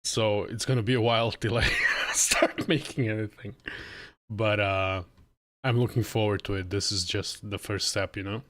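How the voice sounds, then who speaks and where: A man's voice: lowish voice, fairly even intonation, moderate pace, a bit bright. A man in his late thirties from Croatia, chatting casually and a little hesitantly on a livestream at his desk. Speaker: livestreamer learning game development. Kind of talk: casual livestream chat while working. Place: indoor room at a desk. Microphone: close desk microphone on an arm.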